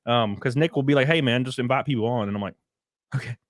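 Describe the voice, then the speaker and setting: A man's voice: medium pitch, fast talker, no room sound, clean studio sound. A man from the American South with a Southern accent, hosting a casual livestream chat and reading viewer comments aloud. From southern United States. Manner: animated, laughing, reads viewer chats aloud. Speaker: podcast host.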